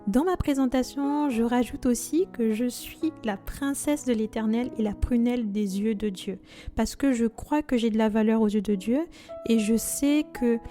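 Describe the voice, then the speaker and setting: A woman's voice: on the high side, moderate pace, mellow, faint music behind. A woman in her twenties, originally from Congo, hosting a faith podcast in an earnest, devout and chatty manner with frequent fillers. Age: 20s. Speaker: podcast host.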